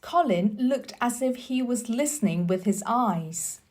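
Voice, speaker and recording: A woman storyteller reading a children's book aloud in a deliberate, expressive teacher's manner. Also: on the high side. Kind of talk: scripted storybook narration.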